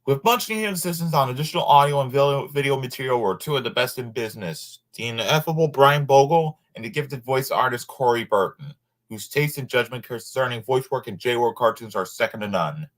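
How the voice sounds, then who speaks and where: A man reading a nonfiction book aloud informally, sometimes halting over words and adding asides. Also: medium pitch, low-quality recording.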